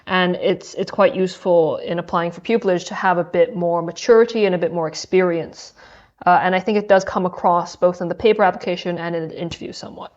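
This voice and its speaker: A woman's voice: fairly low pitch, steady tone, fairly quick, darkish tone, lo-fi sound. A young adult woman from Hong Kong, a podcast guest answering questions thoughtfully and with some hesitation. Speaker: podcast guest, law student. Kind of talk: casual interview chat.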